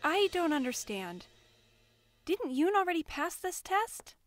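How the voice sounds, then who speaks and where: A woman playing a shy, anxious, self-doubting teenage girl in an animated fan drama, speaking hesitantly. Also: very high voice, measured pace, loud music behind the voice, exaggerated voice. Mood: anxious, unsure. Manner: hesitant, soft-spoken. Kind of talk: fan visual novel voice acting.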